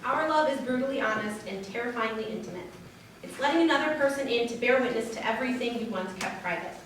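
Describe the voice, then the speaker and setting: Higher-voiced woman reading in an echoey hall. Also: expressive, fast, fairly bright tone. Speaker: wedding reader. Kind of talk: reading love letters aloud, reader's theater. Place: large echoey room at a wedding. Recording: echoey hall, distant mic, faint noise.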